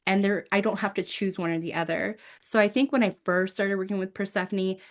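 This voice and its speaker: A woman from South Korea and the United States speaking as a guest in a reflective interview, earnestly explaining her views. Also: on the low side. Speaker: guest, Persephone devotee.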